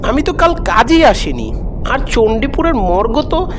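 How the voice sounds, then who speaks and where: A man's voice: extremely high pitch, sing-song, moderate pace, background noise. A man voicing a concerned, puzzled work colleague in a horror cartoon story, questioning and matter-of-fact.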